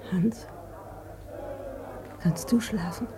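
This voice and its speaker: An actress playing a fearful, sleepless wife in a German radio drama, speaking in a hushed, breathy voice. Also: female voice, lowish voice, drawn-out words, a crowd in the background. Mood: uneasy. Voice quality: breathy, whispery. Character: anxious, sleepless wife clinging to her husband.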